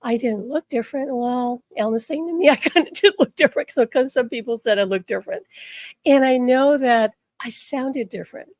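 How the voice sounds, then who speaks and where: Slightly hoarse woman teaching spirituality. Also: sits high, a little fast, no room sound, studio quality. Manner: earnest, checks in with the listener often. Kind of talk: direct-to-listener spiritual talk.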